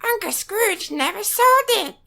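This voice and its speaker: An actor voicing an excitable young cartoon duck nephew who tells stories earnestly and eagerly in a fan comic dub. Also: extremely high pitch, measured pace, creaky, exaggerated voice. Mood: earnest storytelling. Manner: earnest, enthusiastic storytelling.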